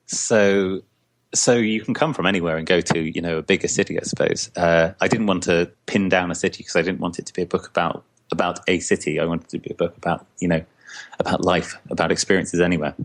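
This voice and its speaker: A young man from Scotland, a comics artist answering interview questions in a casual, halting way, full of restarts and fillers. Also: low-pitched, steady tone.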